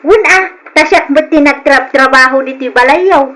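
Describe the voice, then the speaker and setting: High-voiced, brash older Filipino mother-in-law. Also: normal speed, fairly bright tone, slight background noise. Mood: demanding, brazen. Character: mother-in-law, brisk, stubborn, demanding her pay. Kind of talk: Ilocano radio drama acting.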